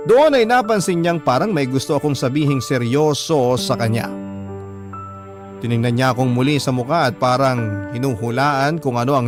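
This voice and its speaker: A man acting as a troubled husband recounts his story in the first person, in a confessional radio-drama manner. His mid-pitched voice sits over clearly heard background music. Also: lightly acted. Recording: music under the voice.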